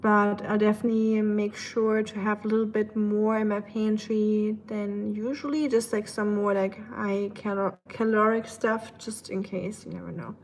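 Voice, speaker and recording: A woman's voice: mid-range voice, level tone, normal speed, hardly any pauses, a little mellow, low-quality recording. A woman with a German accent chatting casually to livestream viewers, thinking aloud in a rambling way with dry humor. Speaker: livestream host. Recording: clear background ambient noise.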